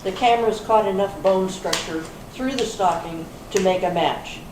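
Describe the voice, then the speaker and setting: A woman's voice: medium pitch, moderate pace, lightly acted. A woman playing a skeptical police interrogator in an amateur readers theater reading, formal and clipped. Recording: echoey hall, distant mic, faint noise.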